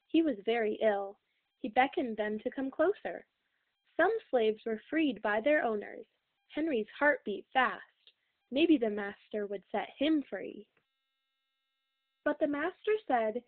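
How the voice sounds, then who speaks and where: A woman reads a storybook aloud from a script. Her voice sits on the high side. The recording is narrow and tinny, as if heard through a telephone. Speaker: read-aloud reader.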